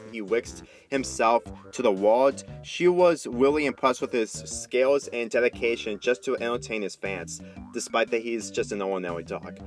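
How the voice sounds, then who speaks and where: A man reading a story aloud as scripted narration, now and then stumbling and repeating words. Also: medium pitch. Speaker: fan fiction reader.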